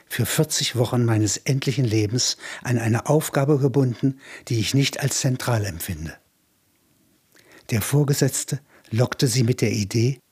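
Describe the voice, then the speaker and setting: A man with a husky, breathy voice reading literary narration for a radio play. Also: mid-range voice, darkish tone.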